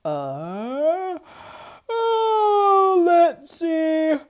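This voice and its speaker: A woman voicing a lazy, sleepy male bear who talks in a loud, drowsy drawl. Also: very high-pitched, sing-song, drawn-out words, pitched above the performer's own voice, exaggerated voice.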